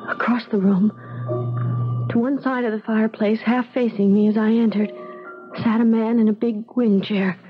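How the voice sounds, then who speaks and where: An American actress playing a spirited, flippant young bride in a 1970s radio drama, wry and brisk. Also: female voice, a little high, fairly even intonation, lightly acted. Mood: wry narrating. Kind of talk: 1970s radio drama acting, first-person narration and dialogue. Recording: dull 1970s radio recording with music.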